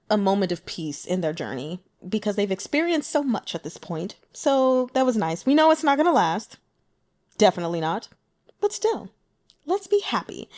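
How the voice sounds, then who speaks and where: A woman talking casually through her notes on a book, in a chatty, giggly and animated manner. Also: mid-range voice. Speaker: audiobook reader and book commentator.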